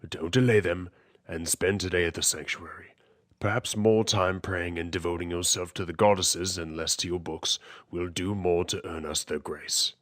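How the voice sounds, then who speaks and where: An actor playing a stern, dutiful middle-aged king and father in an audio drama, speaking in a formal, measured, commanding way. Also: low voice, steady tone, a bit bright, no room sound, studio quality. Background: music.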